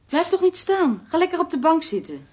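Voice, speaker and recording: A woman's voice: extremely high pitch, sing-song, fairly quick, slight background noise. An actress with a Netherlands Dutch accent playing a trusting, innocent woman in a radio drama. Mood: hospitable. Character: trusting, childlike innocent woman, partner of a burglar.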